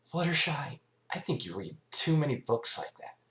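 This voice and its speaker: A bold young pegasus pony girl from a cartoon fan story, acted by a man. The pitch is raised, and the delivery is cocky and enthusiastic. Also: very animated, normal speed, fairly bright tone. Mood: brash, keen. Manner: brash, enthusiastic.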